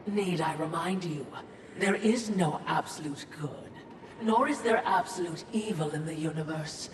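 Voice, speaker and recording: A woman's voice: low-pitched, measured pace. A woman playing a sly, philosophizing supernatural watcher in a video game, wordy, insinuating and falsely deferential. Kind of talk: dramatic video game voice acting. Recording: echoey, distant, noisy ambience.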